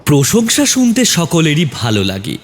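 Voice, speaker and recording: A man's voice: on the high side, wildly swooping pitch, moderate pace. A man narrating a scripted horror story in a dramatic, suspenseful manner.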